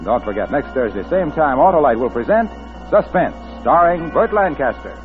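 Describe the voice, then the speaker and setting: A man's voice: on the high side, very animated, moderate pace. An American man announcing in polished, emphatic 1940s radio commercial style. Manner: polished, emphatic salesman delivery. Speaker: announcer and sponsor pitchman. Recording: tinny 1940s radio recording, faint music.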